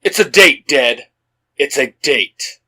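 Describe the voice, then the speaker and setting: A man voicing a confident, sharp-tongued young vigilante hero with emphatic delivery in a fan fiction story reading. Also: mid-range voice, expressive, takes it slowly, breathy edge, full character voice. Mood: cocky.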